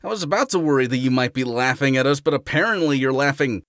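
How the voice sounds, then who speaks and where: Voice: mid-range voice, fast, fairly bright tone, no room sound, studio quality, close to an everyday voice. A performer voicing a goofy, laid-back male hedgehog hero in a fan audio drama, talking in a casual, jokey, slangy way. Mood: groggy.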